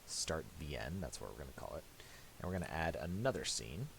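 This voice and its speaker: Deep voiced guy doing a software tutorial, thinks out loud, halting, faint hiss and clicks. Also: big pitch swings, creaky. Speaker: tutorial presenter. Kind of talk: casual unscripted software tutorial narration.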